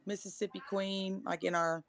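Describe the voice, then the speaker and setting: A woman singer in her thirties chatting on a video call, talkative and quick to laugh. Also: mid-range voice, little pitch movement, gravelly, a little hiss or hum. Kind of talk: casual video-call chat.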